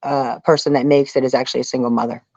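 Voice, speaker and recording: A woman's voice: very deep voice, level tone, fast talker, a little mellow, no echo. Female interview guest, informal and emphatic, seeking agreement as she talks. Dry, slightly lo-fi sound. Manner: emphatic, conversational, often checking with listener. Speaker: guest, reality survival show contestant. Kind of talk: casual interview talk.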